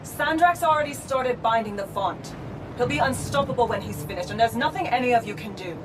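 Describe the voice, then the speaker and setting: An actress playing a stern, fatalistic former ally with an urgent, admonishing manner in a dramatic video game cutscene. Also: female voice, a little high, lively intonation, a little fast, noisy background, lightly acted. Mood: grim warning. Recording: game audio with loud outdoor ambience.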